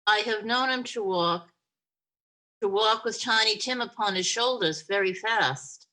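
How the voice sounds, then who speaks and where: A woman plays a grieving mother of a poor family in an amateur radio play, speaking with soft tenderness over a video call. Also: medium pitch, unhurried. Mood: tender.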